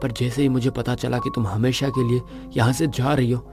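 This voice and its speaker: Male actor as young romantic lead, mid-pitched, earnest, brooding, dramatic, audio love drama, music underneath. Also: flat delivery, fairly quick, natural voice. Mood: pleading, remorseful.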